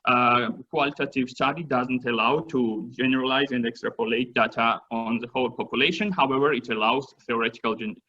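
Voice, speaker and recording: A man whose native language is Georgian gives an academic seminar talk in English, halting often with fillers and restarts. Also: mid-range voice, steady tone, pushed and bright, dull audio. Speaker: seminar presenter. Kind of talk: academic presentation.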